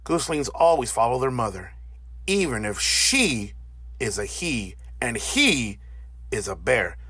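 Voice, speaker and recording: A man narrating a children's picture book as an animated read-aloud. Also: medium pitch, a little slow, slightly rough, dry recording, studio quality. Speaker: storybook narrator.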